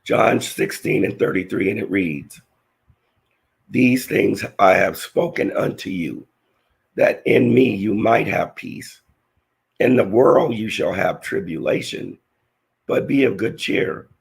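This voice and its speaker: Male Bible teacher, low, hoarse and gravelly, earnest slow verse reading. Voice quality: raspy, gravelly. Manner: slow, earnest scripture reading with halting restarts. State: hoarse, voice nearly lost. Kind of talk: scripture-reading lesson.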